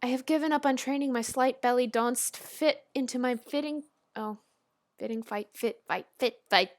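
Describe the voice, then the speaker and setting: A woman's voice: high-pitched, normal speed, no echo, studio quality. A young woman reading and commenting in a casual, informal, slightly amateur way, with a giggly manner. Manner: giggly, informal, stumbles over the text and rereads. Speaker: video host. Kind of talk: casual reaction commentary and amateur read-aloud of fan fiction.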